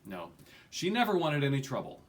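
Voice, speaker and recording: A man's voice: medium pitch, huge pitch swings, moderate pace. A man in his forties reading a fantasy novel aloud with dramatic emphasis and the odd stumble, recorded at a desk at home. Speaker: reader of an audiobook. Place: small furnished room at home, indoors.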